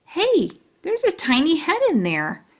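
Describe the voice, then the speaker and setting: A woman voicing an excitable, blunt, naive kindergarten girl in a children's storybook reading. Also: sits high, unhurried, pitched above the performer's own voice, exaggerated voice. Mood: excited.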